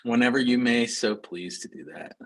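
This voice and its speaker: A man's voice: medium pitch, normal speed, a bit bright. A man hosting a work meeting on a video call, teasing in a playful, mock-formal way. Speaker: meeting host.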